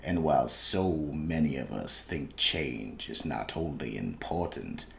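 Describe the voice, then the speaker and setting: An actor playing an ominous, wry horror anthology host, delivering scripted narration. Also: male voice, very deep voice, creaky, slight background noise, full character voice.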